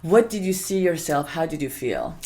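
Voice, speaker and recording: Low-voiced woman hosting an interview. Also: big pitch swings, moderate pace, a little mellow. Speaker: interview host. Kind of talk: conversational interview.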